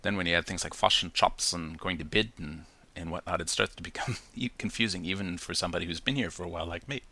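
A man's voice: low voice, quick pace, bright, forceful tone. A man hosting a casual solo podcast from New Zealand, chatty and reflective, with many ums and restarts. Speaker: podcast host.

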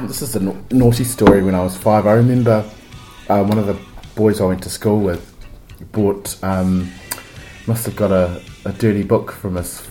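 A man in casual podcast chat, husky and coughing from a cold, candid and giggly, telling stories with halting restarts. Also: on the low side, fairly even intonation, over music, rough recording. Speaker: podcast co-host.

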